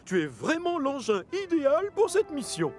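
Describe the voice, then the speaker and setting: Swooping, pompous railway boss. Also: male voice, very high-pitched, wildly swooping pitch, moderate pace, faint music behind, full character voice. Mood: commanding. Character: bossy, pompous railway controller, adult man. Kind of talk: French-language children's cartoon voice acting.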